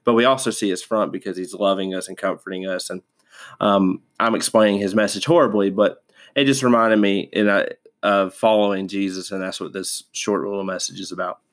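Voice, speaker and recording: A man's voice: low-pitched, steady tone, fairly quick, a bit bright. A man hosting a devotional podcast, stuffed up from a sinus infection, talking casually with many fillers and restarts. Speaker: podcast host.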